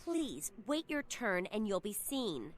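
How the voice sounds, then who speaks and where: Female receptionist, video game, slow delivery, animated pitch, distant noisy audio. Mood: brisk, official. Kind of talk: video game character dialogue.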